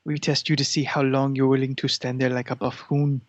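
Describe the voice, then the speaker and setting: A man voicing a haughty, imperious cat who expects to be served, pompous and deliberate, in a comedy podcast. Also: mid-range voice, little pitch movement, no echo, lo-fi sound, close to an everyday voice. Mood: smug, imperious. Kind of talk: improvised character comedy interview.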